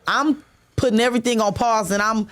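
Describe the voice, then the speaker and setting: A woman's voice: fairly high pitch, fairly quick, fairly bright tone. Laid-back young woman rapper, candid. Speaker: rapper, guest. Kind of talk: casual interview chat.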